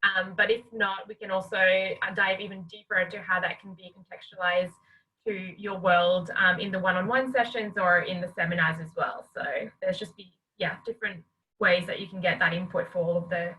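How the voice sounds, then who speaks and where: A woman's voice: on the low side, steady tone, brisk, sharp tone. A woman co-presenting a training webinar over a video call, speaking in a friendly, reassuring way. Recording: distant, faintly noisy video-call audio.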